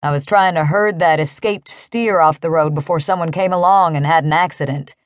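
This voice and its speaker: A woman voicing a kind, easygoing cowboy with a calm drawl in an audiobook story. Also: low-pitched, unhurried, no echo, clean studio sound, pitched below the performer's own voice. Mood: easy, soothing.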